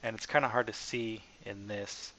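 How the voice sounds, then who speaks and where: A man narrating a casual software tutorial as the developer, informal and hesitant, as if thinking aloud. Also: lowish voice, fairly even intonation, moderate pace. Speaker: software developer presenting own asset package. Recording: dull lo-fi mic with faint background noise.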